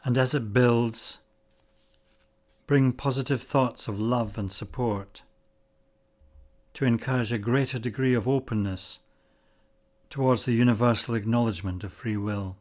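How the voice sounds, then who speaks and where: A man leading a guided meditation, speaking in measured, deliberate short phrases with pauses between them. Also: medium pitch, fairly even intonation, darkish tone. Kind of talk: guided meditation, slow spoken instruction.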